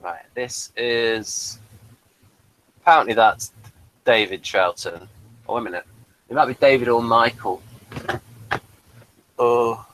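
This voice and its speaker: A man's voice: mid-range voice, slow pace, pauses often, a bit bright, poor audio. A man co-hosting a casual review, talking and reading aloud, sometimes stumbling and correcting himself. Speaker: review show co-host. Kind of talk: casual review chat, reading out an episode synopsis.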